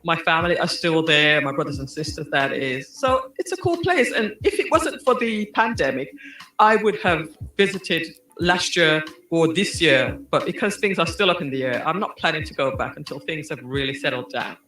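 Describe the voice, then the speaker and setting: A woman from Birmingham, England, with a Birmingham accent, telling stories as a guest in an upbeat, animated way. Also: low voice. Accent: Birmingham English. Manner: animated, upbeat storyteller with a quick laugh. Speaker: guest, digital marketing strategist. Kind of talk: casual interview chat. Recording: roomy echo.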